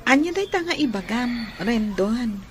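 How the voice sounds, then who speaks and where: A woman with a Filipino accent acting a gentle, modest young maiden being courted in a radio drama. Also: on the high side, lively intonation, measured pace, a faint crowd, a little hiss or hum. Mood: questioning, cautious.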